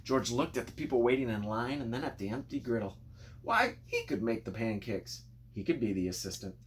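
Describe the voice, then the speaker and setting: A man narrating a children's storybook in an expressive, playful way, speaking directly to young listeners. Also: mid-range voice, brisk. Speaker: storybook reader.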